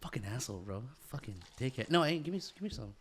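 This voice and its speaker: A man's voice: medium pitch, lively intonation, fast, a bit bright, slight background noise. A man co-hosting a podcast in casual chat, peppering his talk with profanity and "bro" slang. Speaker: podcast co-host.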